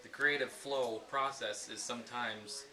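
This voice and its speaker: A young man joking about his video partner in a mock behind-the-scenes interview, halting with many restarts. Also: mid-range voice, steady tone, sharp tone. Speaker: video creator.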